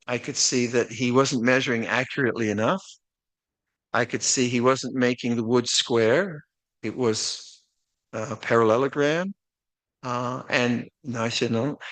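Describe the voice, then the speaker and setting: Older monk giving a plain, reflective talk. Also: male voice, medium pitch, no room sound, poor audio. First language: English. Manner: reflective, plainspoken, gently self-deprecating humor. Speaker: Buddhist monk teaching a meditation retreat. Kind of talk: dharma talk, opening instructions.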